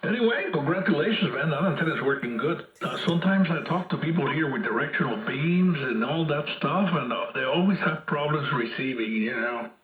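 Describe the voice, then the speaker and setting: A man heard over a two-way AM radio, talking informally and haltingly as an amateur operator on a hand mic. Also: fairly high pitch, expressive. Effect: two-way AM radio transmission. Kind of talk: casual radio contact chat. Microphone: hand microphone. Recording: muffled, echoey AM radio reception.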